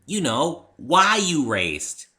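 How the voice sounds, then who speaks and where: A man voicing a bubbly, excitable female pony who loves parties, gushing and sing-song, in a dramatic story reading. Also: a little high, very slow, pitched above the performer's own voice, exaggerated voice. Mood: prodding. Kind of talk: dramatic reading of fan fiction.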